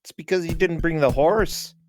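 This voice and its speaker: A man's voice: on the high side, normal speed. A man chatting casually and playfully as co-commentator on a video game, joking along.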